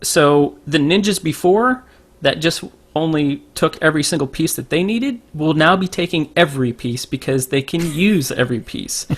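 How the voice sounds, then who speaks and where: A man's voice: a little high, animated, moderate pace, hardly any pauses. Joking man on a gaming podcast. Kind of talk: casual gaming podcast chat.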